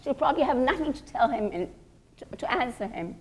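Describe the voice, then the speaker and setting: A woman's voice: sits high, expressive, brisk, darkish tone, slightly rough, exaggerated voice. A woman storyteller voicing a gossipy young woman who is chatty, emphatic and judgmental. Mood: dismissive, gossipy.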